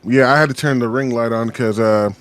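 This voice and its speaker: A man's voice: medium pitch, fairly even intonation, fairly quick, no room sound. A man co-hosting a casual podcast from a small home studio, rambling and swearing with lots of fillers. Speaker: podcast co-host.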